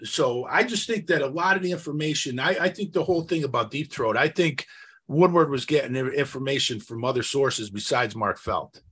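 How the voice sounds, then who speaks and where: A man's voice: mid-range voice, a little fast, a bit bright, slightly rough. A man co-hosting a podcast, talking through history in an insistent, questioning, conversational way. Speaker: podcast co-host.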